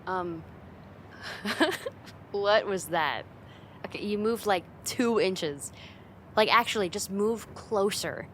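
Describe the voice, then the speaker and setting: A woman's voice: medium pitch, slow, long pauses, fairly bright tone, a little hiss or hum. A woman playing a confident, teasing young woman, a friend's older sister, in a casual ASMR roleplay spoken straight to the listener. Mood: smug, teasing. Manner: playful, teasing, casual, giggly, lightly exasperated.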